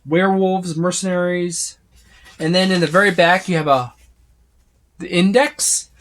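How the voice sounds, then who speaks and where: A tabletop game reviewer giving a casual, unscripted overview, speaking haltingly with repeated words and trailing lists. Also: mid-range voice, fairly even intonation, a bit bright. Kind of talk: casual unscripted book flip-through overview.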